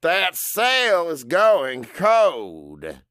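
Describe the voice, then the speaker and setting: A man playing an impatient, gruff old male boss in an audiobook, scolding and demanding. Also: fairly high pitch, wildly swooping pitch, drawn-out words, a bit bright, dry recording, studio quality, voice pushed up, exaggerated voice. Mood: angry, demanding. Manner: barking, scolding. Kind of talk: audiobook character acting.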